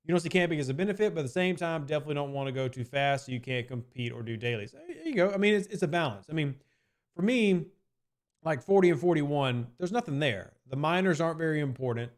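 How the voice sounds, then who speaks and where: A man's voice: a little high, expressive, quick pace. Casual male game streamer. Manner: conversational, hedging with "I mean", repeats points. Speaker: streamer answering chat questions. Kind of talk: casual live-stream chat.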